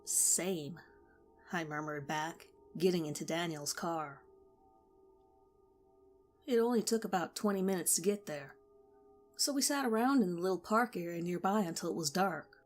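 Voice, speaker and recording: A woman narrating a horror story in a measured, steady way. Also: fairly low pitch, faint music behind.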